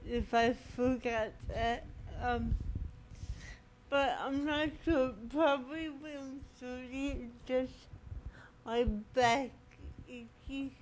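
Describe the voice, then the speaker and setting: A woman's voice: sits high, steady tone, slow, slight background noise, poor audio. A woman in her thirties from Philadelphia introducing herself hesitantly. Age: 30s. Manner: hesitant, with fillers. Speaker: conference attendee. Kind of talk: self-introduction.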